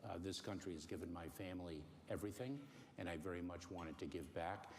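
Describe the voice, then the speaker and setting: A middle-aged man born in Cuba, giving careful, halting interview answers with many pauses and fillers. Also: low voice. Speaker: interview guest. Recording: faint noise in a long-reverb room.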